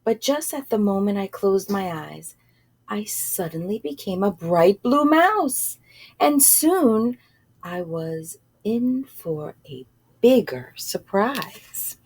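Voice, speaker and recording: A woman reads a story aloud for children at a slow pace. She is informal and halting, speaking in short phrases with pauses and addressing the listeners directly. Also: mid-range voice, big pitch swings, a little mellow, slightly rough.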